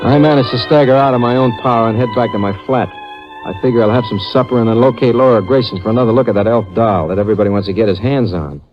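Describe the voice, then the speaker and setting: A man's voice: medium pitch, quick pace, lightly acted. An actor playing a wry, slangy, easygoing store detective who narrates his own story in 1950s radio drama style. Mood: wry narrating. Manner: slangy, wry tough-guy delivery. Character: wisecracking hardboiled store detective, male, easygoing. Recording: muffled vintage radio recording with music.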